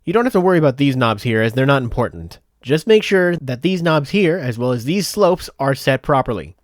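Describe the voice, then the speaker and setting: A man narrating a software tutorial step by step in a methodical, explanatory way. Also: medium pitch, big pitch swings, brisk, no room sound, clean studio sound. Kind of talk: step-by-step instructional voice-over.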